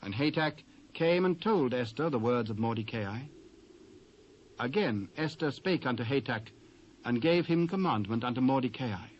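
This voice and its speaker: A British man narrating scripture in a solemn, measured, dramatised style. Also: medium pitch, animated, slight background noise. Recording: dull old cassette recording.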